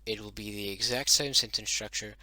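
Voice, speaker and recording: Hesitant man, bright dry close voice. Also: on the low side, brisk, no echo. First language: Dutch-derived language. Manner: hesitant, many ums and self-corrections. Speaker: hobbyist teaching his invented language. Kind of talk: casual spoken lesson.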